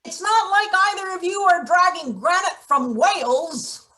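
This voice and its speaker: A woman playing a sardonic, deadpan maintenance boss in a table read of a satirical play. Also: very high-pitched, a little slow, exaggerated voice. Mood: dry, blunt. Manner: deadpan, blunt. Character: gruff, sardonic female maintenance worker boss.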